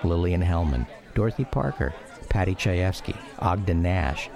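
A low, gravelly grown son reminiscing. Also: male voice, lively intonation, moderate pace, over music, crowd noise. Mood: fond, reflective. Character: grown son wistfully recounting memories of his father. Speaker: narrator of a radio drama. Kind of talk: scripted dramatic memoir narration.

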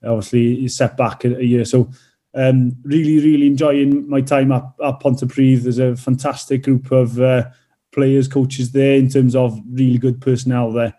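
Welsh rugby player, dark flat voice. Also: male voice, medium pitch, normal speed. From North Wales. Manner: hesitant, many fillers and restarts. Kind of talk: casual interview answers.

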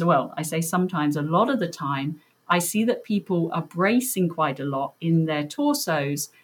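A woman leading a guided breathing meditation, giving gentle, reassuring, unhurried instructions. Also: lowish voice.

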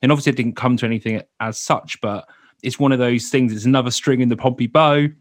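A man of middle pitch hosts a football podcast in a chatty, easygoing way. He often breaks off and restarts mid-sentence, and his voice is dry and close to the mic. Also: fairly even intonation, fast talker, no room sound. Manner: chatty, self-interrupting. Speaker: podcast host. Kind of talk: casual football chat.

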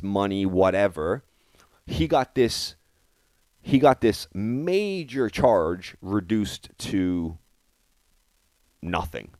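Deep-voiced indignant man. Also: a little mellow, dry recording. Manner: indignant, emphatic, repeats phrases for stress. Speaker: podcast host. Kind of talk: opinionated current-affairs commentary.

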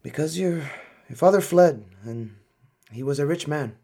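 An actor playing a blunt, protective middle-aged Turkish colonel and exasperated husband in a radio play, with a rough voice. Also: male voice, fairly high pitch, lively intonation, a little slow, dark tone. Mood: gruff. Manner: blunt, brusque.

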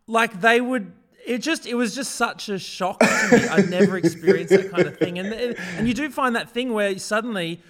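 An Australian man with a distinctly high voice for a male speaker. He talks casually and reflectively, sharing anecdotes. He is emphatic, building each point phrase by broken phrase. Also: normal speed, hardly any pauses, over a noisy crowd.

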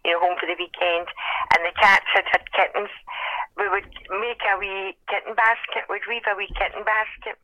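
An older woman from Scotland with a Scottish accent and a hoarse voice, chatting and reminiscing in an interview over a phone call. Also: medium pitch, level tone, normal speed, no room sound. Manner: chatty, self-deprecating reminiscing. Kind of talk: oral history interview. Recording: phone call, dry sound.

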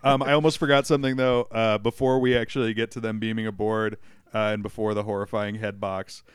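A man's voice: fairly low pitch, flat delivery, a little fast, clear, resonant tone, no echo. A man co-hosting a podcast in casual chat, wry and exasperated and swearing freely. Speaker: podcast co-host.

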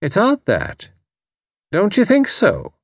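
A man's voice: sits high, huge pitch swings, slow, creaky, dry recording, clean studio sound, pitched above the performer's own voice, heavily acted. A man voicing a genial but overbearing older Irish woman in an audiobook, blunt, emphatic and insinuating. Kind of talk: audiobook character voice.

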